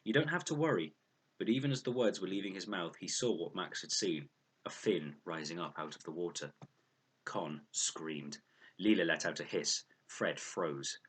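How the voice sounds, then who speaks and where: A man reading a children's adventure novel aloud as an expressive, scripted narration. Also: sits low, moderate pace, breathy edge. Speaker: audiobook reader.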